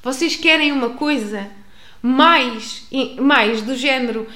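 A woman with a European Portuguese accent telling a personal travel story to an audience, expressive, with many restarts. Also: high voice, slow. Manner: expressive, acts out dialogue, frequent restarts. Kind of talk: animated storytelling. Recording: roomy echo.

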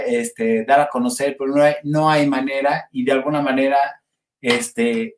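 A man's voice: a little high, darkish tone. A man with a Mexican accent hosting a casual livestream, chatting with viewers in a rambling, informal way. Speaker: livestream host. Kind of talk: casual live chat with viewers. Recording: roomy, echoey sound.

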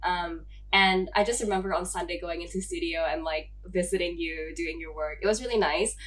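A woman's voice: lowish voice, fairly even intonation, a little fast. A young woman from Hong Kong hosting a podcast, chatting casually with lots of "like" fillers and frequent laughs. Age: young adult. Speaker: podcast host. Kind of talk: casual chat.

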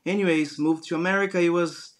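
A man's voice: a little high, steady tone, quick pace. A man in his forties from Brazil with a Brazilian accent, telling his story as an earnest interview guest. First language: Portuguese. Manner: earnest, storytelling, frequent restarts. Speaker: guest entrepreneur. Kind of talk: casual interview conversation.

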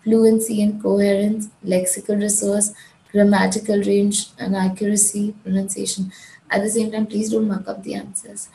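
A woman's voice: medium pitch, little pitch movement, normal speed, creaky, warm tone, reverberant, slight background noise, rough recording. A woman from India with a Gujarati English accent, teaching an IELTS speaking lesson in a firm, direct way that repeats key points. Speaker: IELTS tutor. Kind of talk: instructional talk.

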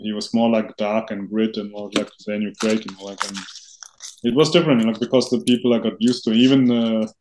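A man co-hosting a podcast, chatting casually and haltingly with many fillers and restarts. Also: on the low side, fairly even intonation, darkish tone, a little hiss or hum, rough recording. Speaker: podcast co-host. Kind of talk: casual chat.

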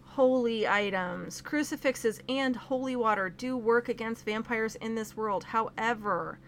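A woman's voice: fairly high pitch, measured pace, a little hiss or hum. A young woman casually reviewing a film, going topic by topic in a chatty way with frequent fillers.

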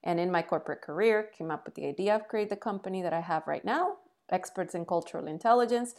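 A woman's voice: fairly low pitch, quick pace. A woman in her forties from Colombia, speaking English, talks as an interview guest. She tells her story frankly and with energy, and pokes a little fun at herself along the way. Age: 40s. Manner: lively, frank, self-deprecating. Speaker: guest, entrepreneur.

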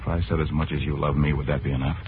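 An actor playing an ardent, well-to-do young suitor, smooth and earnest, in 1940s radio drama style. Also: male voice, very deep voice, flat delivery, racing, a little hiss or hum. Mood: adoring. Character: suave, ardent wealthy young suitor, tenderly devoted. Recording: tinny narrow-band 1940s radio, music behind.

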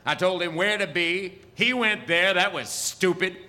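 A man's voice: high-pitched, unhurried, background noise. A man playing a wry, easygoing old wizard with folksy, hesitant asides in a live audio theatre comedy. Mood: matter-of-fact, amused. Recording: hall reverb of live stage performance.

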